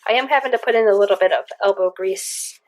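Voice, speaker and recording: A woman's voice: mid-range voice, fast talker, low-quality recording. A woman hosting a casual cleaning vlog from her home kitchen, chatty and rambling with restarts, her voice stuffy from a cold. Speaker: cleaning video host. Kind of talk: casual product test vlog.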